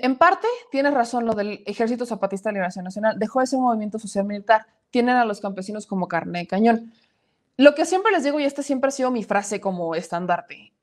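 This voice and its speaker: A woman in her thirties hosting a live-streamed news show from a small home studio, reading viewer comments aloud and adding emphatic opinions. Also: on the high side.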